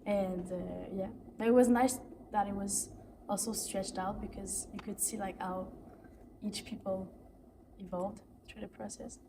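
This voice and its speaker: An eighteen-year-old woman from Canada reflects on her answers, leaning on "like" as she thinks. Her voice is mid-pitched. She sits away from the mic, with noise behind her. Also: steady tone, a little slow. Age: teens. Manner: reflective, frequent "like". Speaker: young actor interviewed. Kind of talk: casual interview answers. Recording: distant mic with background noise.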